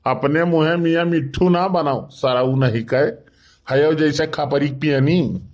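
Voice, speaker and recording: A man voicing a grumpy, quarrelsome village man in an animated comedy, scolding and sarcastic with an Awadhi accent. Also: on the high side, unhurried, close to an everyday voice. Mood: mocking.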